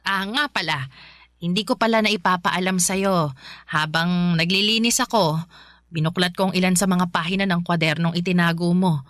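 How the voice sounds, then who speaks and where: A woman playing a kindly, practical older adoptive mother in a Filipino radio drama, chatty and animated. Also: on the low side, moderate pace, dry recording, clean studio sound, lightly acted. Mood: reassuring.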